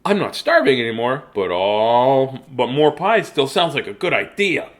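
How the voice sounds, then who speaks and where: A man's voice: mid-range voice, big pitch swings, moderate pace, close to an everyday voice. A man voicing a bold little boy who demands more pies, in a read-aloud storybook. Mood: demanding.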